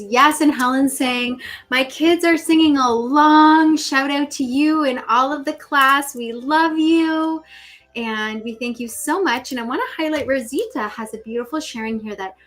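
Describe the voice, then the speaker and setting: Effusive higher-pitched woman host. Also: a little slow, fairly bright tone, faint music behind. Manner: effusive, gushing praise; reads viewer comments aloud. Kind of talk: livestream talk show chat.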